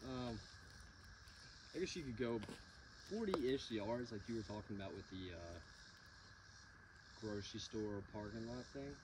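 Low-voiced man chatting over outdoor noise. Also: lively intonation, a little slow, pauses often. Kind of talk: casual chat. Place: outdoors at a wooded range. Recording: noisy outdoor audio.

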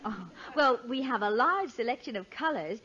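High, animated department store saleswoman. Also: normal speed, husky, heavily acted. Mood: polite, helpful. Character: polite, eager female lingerie saleswoman, easily starstruck. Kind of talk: 1950s radio comedy acting. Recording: dull old radio recording.

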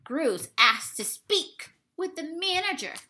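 Young woman, storybook read-aloud, high voice, very lively intonation, teacherly, unhurried. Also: background noise. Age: young adult. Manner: deliberate, addresses young listeners with questions. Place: small indoor room.

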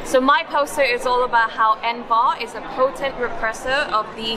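A young woman presenting her research poster clearly and confidently. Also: fairly high pitch, steady tone, normal speed, noisy background. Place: busy conference poster hall. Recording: loud crowd chatter behind.

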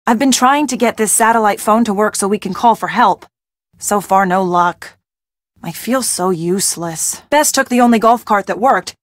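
A woman voicing a young, worried and sardonic friend of a detective in a video game, with an expressive, conversational delivery. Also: mid-range voice, moderate pace, no echo, clean studio sound, natural voice. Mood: worried, guilty.

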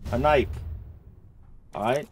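A man giving casual, chatty gameplay commentary, thinking aloud and getting excitable. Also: medium pitch, slow pace, faint music behind. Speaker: gamer recording a play-through.

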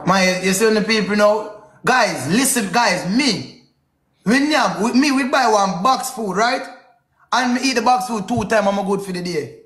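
A young Jamaican man with a high voice banters on a livestream. He talks emphatically and repeats his points, and the room around him sounds echoey. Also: measured pace. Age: 20s. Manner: animated, emphatic, repeats himself. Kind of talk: casual bantering livestream chat. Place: indoors in a home living room. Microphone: phone or webcam close in front, split-screen live call.

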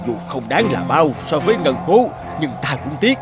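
An actor playing an imperious, grudging old emperor in a cartoon, given to exclaiming. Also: male voice, fairly high pitch, big pitch swings, normal speed. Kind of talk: animated cartoon voice acting. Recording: loud music under the voice.

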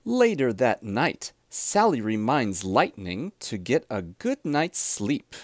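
Guy reading a kids picture book out loud, real slow and expressive, super animated pitch, dry room. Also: dry recording. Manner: deliberate, expressive phrasing for young children. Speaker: storybook reader. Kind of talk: scripted children's storybook read-aloud.

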